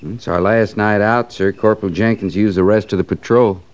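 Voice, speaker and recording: An actor playing an earnest, respectful but persistent cavalry subordinate officer in a 1950s radio western, speaking in a measured way. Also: male voice, on the low side, steady tone, natural voice. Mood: dutiful. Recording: dull, muffled old radio recording.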